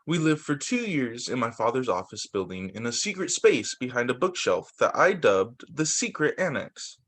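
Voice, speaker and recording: A man's voice: medium pitch, very animated, normal speed, fairly bright tone. A man reading a children's book aloud as a teacher, occasionally stumbling, repeating phrases and adding casual asides. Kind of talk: children's story time read-aloud.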